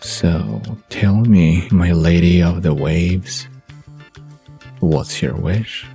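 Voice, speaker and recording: A man's voice: low-pitched, very slow, vocal fry, dark tone, faint music behind, full character voice. A man playing a smug, playful flirt with a theatrical, murmuring delivery in an audio drama. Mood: flirtatious. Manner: theatrical, murmuring, self-satisfied.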